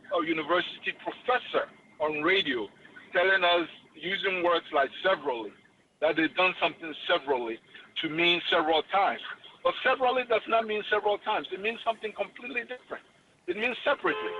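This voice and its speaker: Emphatic Ghanaian man, high voice. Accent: Ghanaian English. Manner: emphatic, lecturing. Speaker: guest expert. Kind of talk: interview on education. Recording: muffled radio broadcast with faint noise.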